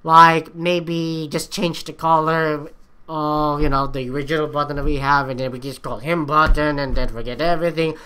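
A woman hosts a reaction video in a bright, forceful voice. Her commentary is casual and rambling, with repeated phrases and the odd swear word. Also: medium pitch, flat delivery, moderate pace, clean tone.

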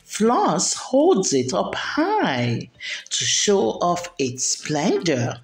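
Slow, very animated woman storyteller. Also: on the low side. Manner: expressive, deliberate enunciation for young listeners. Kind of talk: children's picture book read-aloud. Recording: roomy echo, background music. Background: music.

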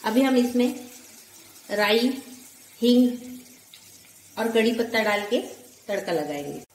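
A woman in her forties narrating a home cooking recipe step by step, calmly listing ingredients one at a time. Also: on the high side, takes it slowly. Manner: calm, lists ingredients one by one. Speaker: cooking channel host. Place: home kitchen, echoey. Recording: echoey room with faint kitchen noise.

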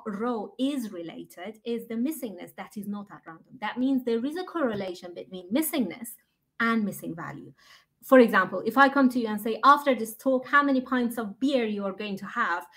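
A woman's voice: on the high side, lively intonation, normal speed, fairly bright tone. Woman giving a lecture over a video call. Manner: explanatory, stops and restarts, asks for the next slide. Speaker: keynote speaker. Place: home room indoors. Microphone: video call laptop mic.

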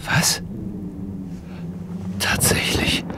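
A man's voice: very slow, very noisy. A man playing a polite, deferential and somewhat incredulous police inspector in an audio drama. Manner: questioning, respectful. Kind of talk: German audio drama acting.

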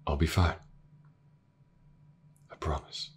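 A man playing a gentle, reassuring detective in an intimate audio roleplay, speaking softly and hesitantly, often near a whisper. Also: slow pace, natural voice. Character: gentle, reassuring male detective with an injured eye.

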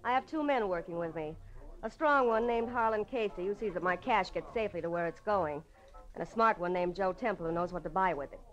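A woman's voice: lowish voice, brisk, dull audio, natural voice. An American actress playing a tough, shrewd, guarded businesswoman in a late-1940s radio noir drama. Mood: confiding. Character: tough, shrewd woman silk merchant, a femme fatale client. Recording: vintage radio drama, faint music behind.

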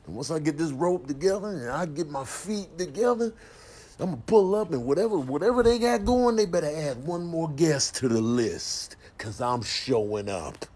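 A stubborn, jealous boyfriend acted by a man in a comedy dub, indignant and slangy. His voice is husky and jumps around in pitch as he insists. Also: animated, moderate pace, a little mellow.

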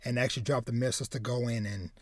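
A man in his thirties with a medium-pitched voice chats casually as a podcast host. He rambles in an animated way, often doubling back on words. The sound is close and dry. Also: rapid-fire, dry recording. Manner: animated, rambling, repeats words while searching for phrasing. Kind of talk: casual chat, retelling a film plot. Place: home room with bookshelves, indoors.

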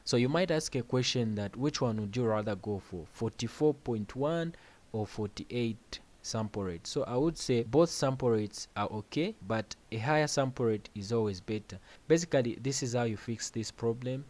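A man of middle pitch narrates a software tutorial over his screen recording. He explains each step in a plain, unhurried way and drops in casual asides as he goes. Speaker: music production tutorial host.

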